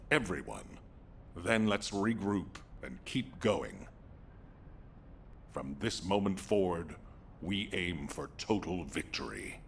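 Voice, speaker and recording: An actor playing a steady, resolute male military commander in a video game, speaking in a firm, sober, deliberate way. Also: low-pitched, vocal fry, a little hiss or hum, heavily acted. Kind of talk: scripted video game dialogue.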